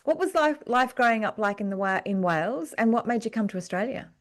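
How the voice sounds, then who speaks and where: A woman's voice: a little high, lively intonation, a little fast. A woman hosting an interview podcast, introducing and questioning a guest. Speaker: podcast host.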